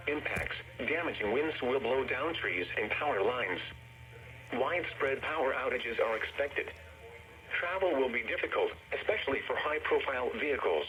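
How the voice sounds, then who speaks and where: A synthetic male text-to-speech voice reads a formal weather warning bulletin in a flat, measured, impersonal way, heard over weather radio. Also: mid-range voice. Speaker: automated weather radio announcer. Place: radio receiver playback of a broadcast. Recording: noisy, muffled weather radio broadcast.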